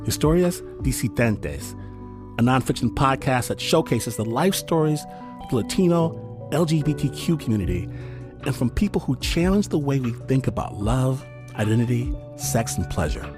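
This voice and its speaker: A man's voice: medium pitch, big pitch swings, moderate pace. A man hosting a podcast, reading scripted credits and introductions. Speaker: podcast host. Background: music.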